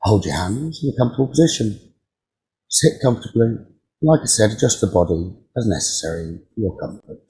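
A man leading a guided meditation as a teacher, giving calm, soothing instructions with frequent pauses. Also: lowish voice, rough recording.

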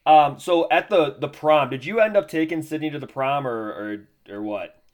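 A male co-host leads the questions in a relaxed, meandering way. His questions wander through ums and restarts before he lands them. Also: on the high side. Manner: relaxed, drawn-out questions with many fillers. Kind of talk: casual interview chat.

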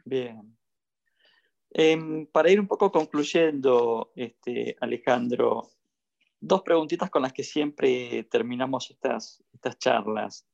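Male podcast host, Argentine accent, conversational, mid pitch with plenty of vocal fry. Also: takes it slowly, darkish tone. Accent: Argentine Spanish. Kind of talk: casual conversational interviewing. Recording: dull lo-fi audio.

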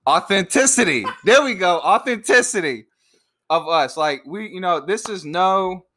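A man's voice: sits high, animated, moderate pace, bright, forceful tone, a little hiss or hum. A man in his late twenties co-hosting a casual, unscripted podcast chat, laughing often and rambling through restarts. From born in Georgia, raised in Virginia. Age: 20s. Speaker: podcast co-host.

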